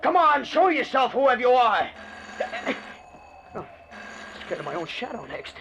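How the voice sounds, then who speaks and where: A jittery, excitable husband stammers through his bravado in a high, very lively voice. It is old-time radio drama on a muffled recording with clear noise. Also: a little slow, exaggerated voice. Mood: nervous defiance. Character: jittery stranded husband putting on bravado in a haunted house.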